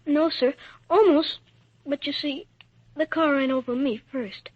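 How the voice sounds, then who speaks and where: A woman's voice: sits high, a little slow, no room sound. A woman playing an earnest, plucky little boy in a 1940s radio drama, slangy and polite. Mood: hesitant. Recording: dull, dry old radio recording.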